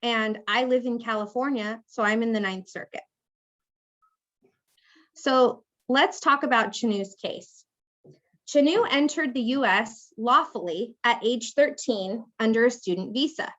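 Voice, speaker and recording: A woman's voice: on the high side, unhurried, fairly bright tone, highs cut off. A woman law professor giving a conversational classroom lecture, acting out example speakers as she explains. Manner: conversational, acts out hypothetical speakers.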